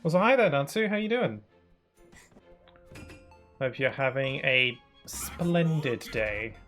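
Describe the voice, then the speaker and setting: A man streaming a game, chatting casually and thinking aloud, a bit sniffly from hay fever, with bursts of excitement. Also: medium pitch, slow, faint music behind. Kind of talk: casual gaming commentary.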